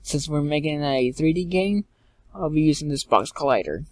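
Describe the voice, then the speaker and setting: A man giving an informal step-by-step software tutorial in a halting way, repeating and correcting himself as he goes. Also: fairly high pitch, animated. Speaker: tutorial presenter. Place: indoors, screen-recording voice-over.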